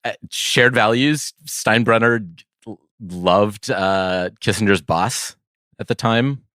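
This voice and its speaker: A man co-hosting a podcast in casual banter, thinking aloud in a halting, rambling way. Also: lowish voice, a bit bright, no echo, clean studio sound. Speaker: podcast co-host.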